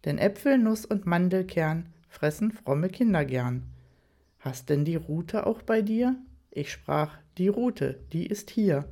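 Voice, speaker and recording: A man's voice: sits high, expressive, slow, mellow, exaggerated voice. Narrator doing a cheerful man reciting verse. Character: cheerful male colleague reciting a Christmas poem.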